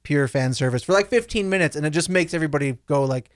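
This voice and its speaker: A man's voice: on the high side, fast, no room sound, clean studio sound. A man co-hosting a podcast in casual conversation. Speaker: podcast co-host. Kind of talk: casual chat.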